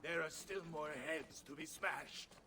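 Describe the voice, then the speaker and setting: An actor voicing a boisterous, fierce middle-aged mercenary leader, bellowing and swaggering in a game. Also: male voice, a little slow, sharp tone, in a large hall. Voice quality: gravelly, booming. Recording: game audio with ambient noise underneath.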